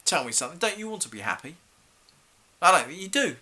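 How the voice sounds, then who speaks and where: A man's voice: on the high side, big pitch swings, fast talker, sharp tone, full character voice. A male actor playing a stern, exasperated head teacher in a theatrical monologue, accusing a pupil with formal, clipped questions. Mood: pleading, disappointed. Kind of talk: theatrical monologue for an acting showreel.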